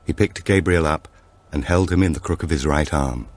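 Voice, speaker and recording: A man reading a thriller audiobook in a measured, dramatic storytelling manner. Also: very deep voice. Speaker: audiobook narrator. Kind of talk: scripted thriller narration.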